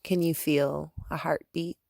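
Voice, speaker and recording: A woman guiding a meditation as a yoga teacher, speaking in a calm, soothing manner with gentle instructions and long pauses. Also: deep voice, gravelly, no room sound, studio quality. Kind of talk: guided meditation.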